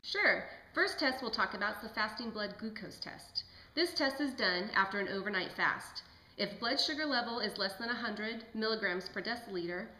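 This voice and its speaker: A woman's voice: medium pitch, sharp tone. A woman playing a polite, studious lab technician in an amateur puppet show, with careful, recited delivery. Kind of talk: scripted amateur lecture. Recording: echoey room.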